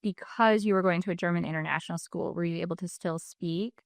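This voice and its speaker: Smooth-voiced woman hosting an interview. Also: on the low side, dry recording, studio quality. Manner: friendly, curious, quick follow-up questions. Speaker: podcast host, interviewer. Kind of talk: casual interview chat.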